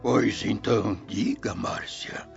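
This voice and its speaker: Elderly Roman father, gravelly, very slow, weary, grave, radio drama, music behind. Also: fairly low pitch, highs cut off, full character voice. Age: older. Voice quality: gravelly, aged. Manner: slow, drawn-out, weighty.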